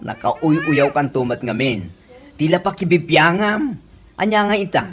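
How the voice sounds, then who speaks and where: A woman's voice: low voice, measured pace, husky. A woman with an Ilocano accent playing a blunt, teasing, camp village friend in a comedy radio drama, sassy and reproving. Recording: noisy home-made radio drama recording.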